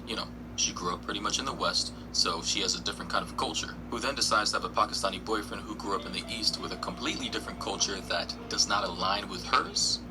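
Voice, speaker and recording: Low-voiced man, commentary over clear music. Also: poor audio. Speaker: video commentator. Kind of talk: true crime commentary to camera or microphone. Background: music behind the voice.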